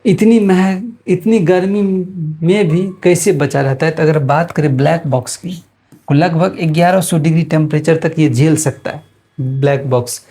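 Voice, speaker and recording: A man's voice: a little high, moderate pace, dark tone, breathy edge. A man with an Indian accent gives an informal explanatory lesson as a teacher, repeating and rephrasing his points for students.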